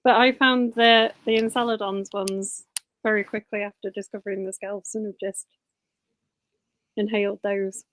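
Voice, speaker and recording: A woman's voice: mid-range voice, flat delivery, normal speed, a bit bright, rough recording. A woman hosting a book club live stream on a video call, friendly and conversational, with small stumbles. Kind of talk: casual live-stream chat.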